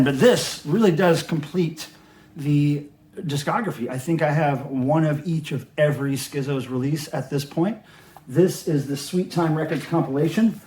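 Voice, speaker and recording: A man with a moderately high-pitched voice hosts a casual collector's vlog. He talks in an offhand, rambling, conversational way, like someone showing items to fellow hobbyists. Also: darkish tone, slight background noise. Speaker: record collector host. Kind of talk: casual show-and-tell vlog about records.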